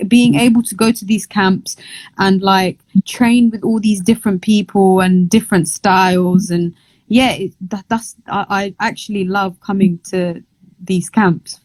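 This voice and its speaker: A young woman chats easily as a guest, her speech full of "like" and little laughs. The sound is dry and close, with no room echo. Her pitch sits at an ordinary middle level. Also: fairly even intonation, a little slow, darkish tone. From Somalia, raised in London. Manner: chatty, lots of "like" fillers, laughs easily. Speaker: boxer, interview guest. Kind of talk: casual interview chat.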